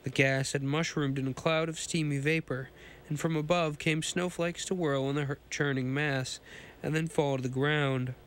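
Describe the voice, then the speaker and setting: A hoarse man with a cold, hosting a podcast and reading a pulp science fiction story aloud, often stumbling and restarting lines. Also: on the high side, fairly even intonation, normal speed, slight background noise.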